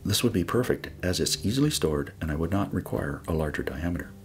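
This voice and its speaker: A man giving a scripted voice-over for a do-it-yourself build, explaining each step in a measured, methodical way. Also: deep voice, lively intonation. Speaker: DIY builder narrating a project.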